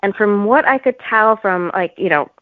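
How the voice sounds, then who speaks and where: A woman talking as an author guest in a casual interview, with an informal, halting, giggly manner. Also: medium pitch, expressive, dry recording, phone-quality sound.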